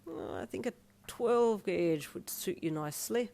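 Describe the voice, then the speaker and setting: A woman's voice: medium pitch, animated, a little slow, gravelly, dark tone, slight background noise. A woman voicing a friendly, chummy Irish man who works as a piercer and tattoo artist in a narrated story. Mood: businesslike, friendly. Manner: chummy, colloquial, cheery.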